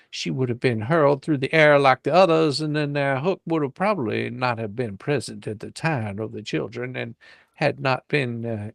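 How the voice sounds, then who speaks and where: Creaky-voiced man reading a story theatrically. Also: medium pitch, no room sound, studio quality. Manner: hammy, ad-libs folksy drawling turns of phrase. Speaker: storyteller reading a book aloud to a live audience. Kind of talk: dramatic comedic reading of a children's adventure story.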